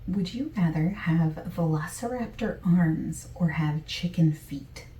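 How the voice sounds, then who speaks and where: A woman playing a friendly, easygoing survey taker in a softspoken, partly whispered ASMR roleplay, with gentle, chatty asides. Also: fairly low pitch, animated, measured pace, roomy sound, far from the mic, low-quality recording. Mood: gentle, casual. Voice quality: soft, breathy, partly whispered.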